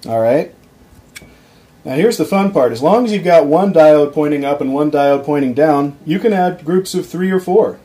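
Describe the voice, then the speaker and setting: A young man explaining a guitar circuit on his workbench, casual and halting, with frequent ums and restarts, recorded on a camera mic. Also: fairly high pitch, expressive, mellow, slight background noise. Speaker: electronics hobbyist demonstrating a circuit. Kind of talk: casual off-the-cuff tutorial. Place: workbench at home, indoors.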